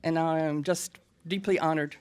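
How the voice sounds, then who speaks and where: Casting: American woman with a lowish voice, emphatic podium speaker. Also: fairly even intonation, moderate pace, darkish tone, clean tone. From United States. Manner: earnest and emphatic, with pointed asides. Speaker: guest speaker at a press event. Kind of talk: prepared speech at a podium. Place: press club hall.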